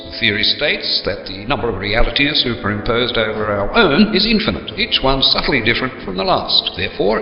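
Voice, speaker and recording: A British man reading scripted comic narration in a mock-serious manner. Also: a little high. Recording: distant, dull, echoey sound.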